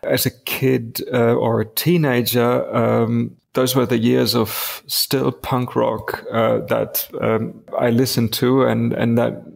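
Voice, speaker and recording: Gravelly German-accented man, hesitant. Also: mid-range voice, flat delivery, slow pace, few pauses. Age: 50s. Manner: hesitant, many restarts and fillers. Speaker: guest designer. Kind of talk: casual interview talk.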